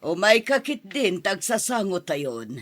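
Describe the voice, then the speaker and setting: A woman's voice: medium pitch, very animated, a little slow, no room sound. A woman with an Ilocano accent playing an older mother and doting, sceptical grandmother in a radio drama. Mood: inviting.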